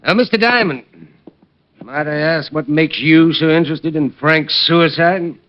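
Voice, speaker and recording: A man's voice: fairly high pitch, measured pace, close to an everyday voice. A man playing an evasive, insinuating fight-gym business partner who hedges as he talks, in 1940s radio style. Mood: wary. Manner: hedging, insinuating. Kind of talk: 1940s radio drama acting. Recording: dull old radio recording.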